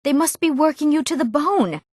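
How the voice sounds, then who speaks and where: An actress playing an eager, earnest young townswoman in a fantasy video game, speaking her scripted lines expressively and clearly. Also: high voice, lively intonation, normal speed, a little mellow, no room sound, studio quality. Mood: concerned, pleading.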